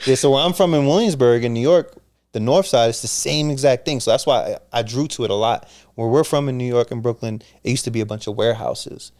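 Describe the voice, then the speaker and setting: Podcast guest, man in his 30s, quick talker, loose slangy delivery, mid-range voice. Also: mid-range voice, a little mellow. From Pennsylvania. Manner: fast, slangy storytelling with frequent restarts. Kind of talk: casual conversation.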